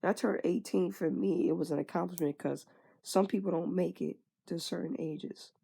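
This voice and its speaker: A woman's voice: lowish voice, fairly even intonation, moderate pace, vocal fry, dark tone, slightly rough. A woman in her twenties frankly venting in a candid personal monologue, swearing casually and trailing off with fillers. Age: 20s. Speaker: vlogger.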